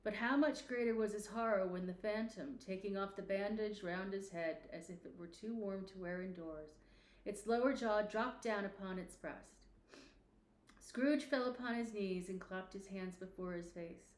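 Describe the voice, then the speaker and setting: A woman reads a story aloud as an audiobook narrator, with expressive phrasing and a medium pitch. Her voice carries a clear roomy echo. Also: moderate pace. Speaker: audiobook reader.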